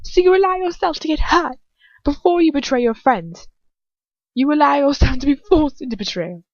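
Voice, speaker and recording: A woman's voice: high-pitched, expressive, moderate pace, dry recording, dull audio, voice pushed up. A woman reading the lines of a defiant young witch who argues back in an accusing tone.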